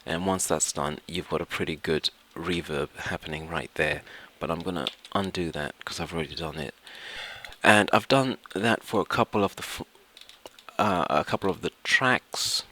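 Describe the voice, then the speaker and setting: A man narrating an informal software tutorial step by step, hesitating and repeating himself as he goes. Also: sits low, moderate pace, a little hiss or hum. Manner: hesitant, repetitive, explains step by step. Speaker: tutorial presenter.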